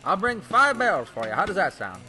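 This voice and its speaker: An actor playing a boastful, smooth-talking bootlegger pilot in an old time radio drama, with a slick, breezy delivery. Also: male voice, sits high, wildly swooping pitch, brisk, pushed and bright, exaggerated voice. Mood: slick, jovial. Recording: music bed behind the dialogue.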